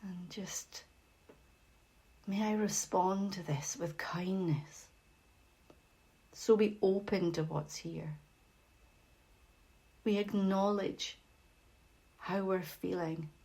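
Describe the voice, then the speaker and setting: Very slow, gentle woman guiding meditation. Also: mid-range voice, fairly even intonation. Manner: gentle, unhurried, with long pauses. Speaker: meditation facilitator. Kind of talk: guided meditation.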